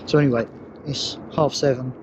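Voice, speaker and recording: A British man, slightly hoarse, talking casually to camera outdoors in the woods, rambling and thinking aloud with many ums and restarts. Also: mid-range voice, fairly even intonation, moderate pace, background noise. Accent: British English. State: slightly hoarse, losing his voice. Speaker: outdoors vlogger. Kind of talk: casual vlog talk to camera.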